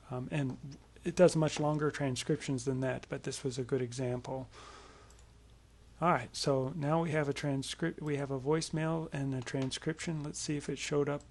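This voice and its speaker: A man presenting a software tutorial as a casual screencast walkthrough, explaining things informally in a creaky voice. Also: mid-range voice.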